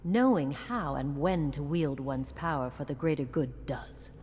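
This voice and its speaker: An actress playing a stern, wise female commander in a video game, measured, watchful and authoritative. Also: deep voice, darkish tone, in a large hall, slight background noise. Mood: stern, commanding. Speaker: mentor and commander. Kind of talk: cinematic video game acting.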